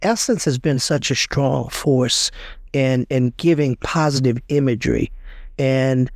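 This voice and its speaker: A man's voice: medium pitch, unhurried, gravelly, no echo, studio quality. A man hosting a conversational interview, earnest and prone to repeating words as he starts a thought. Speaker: interview host, congressman.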